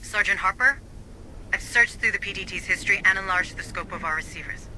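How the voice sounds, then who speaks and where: A woman's voice: mid-range voice, fairly quick, bright, forceful tone, a little hiss or hum, low-quality recording. A woman playing an analytical female company administrator in a sci-fi video game, speaking in a matter-of-fact, precise way. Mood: focused, explanatory. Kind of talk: scripted video game dialogue. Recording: faint background ambience.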